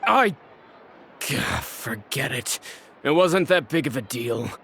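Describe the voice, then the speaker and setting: A man's voice: mid-range voice, big pitch swings, takes it slowly, vocal fry, a bit bright, exaggerated voice. A man voicing a cowardly, spiteful, indignant troublemaker in a video game, whining and blustering. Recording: faint noise and ambience.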